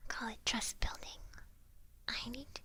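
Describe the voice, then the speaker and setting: A woman voicing an evil witch in a whispered ASMR roleplay, breathy, coaxing and teasing, doting with a soft menace. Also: fairly high pitch, full character voice. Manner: slow, coaxing, softly menacing. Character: evil witch, doting and teasing toward her new familiar.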